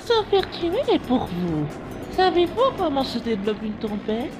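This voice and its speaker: A woman's voice: sits high, big pitch swings. A woman voicing a mocking, theatrical fortune-telling villainess in a cartoon comic fan dub, taunting and drawing out her words. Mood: smug. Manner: taunting, drawn-out, swooping. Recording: music behind the voice.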